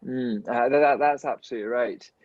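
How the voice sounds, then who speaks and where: A man's voice: medium pitch, brisk, darkish tone, no room sound, lo-fi sound. A man appearing as a guest, a dentist, talking in casual conversation with a stutter that repeats the starts of words.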